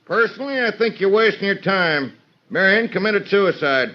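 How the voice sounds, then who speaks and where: A man's voice: high-pitched, animated, moderate pace. An actor playing a surly, defensive small-town American man in an old radio mystery, speaking curtly. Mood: curt, guarded. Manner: curt, defensive. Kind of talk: 1940s radio acting. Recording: dull vintage radio recording.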